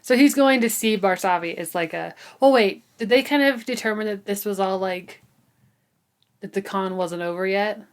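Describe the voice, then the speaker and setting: A woman's voice: medium pitch, normal speed. A woman co-hosting a book podcast in casual chat, often questioning and checking in with her co-host.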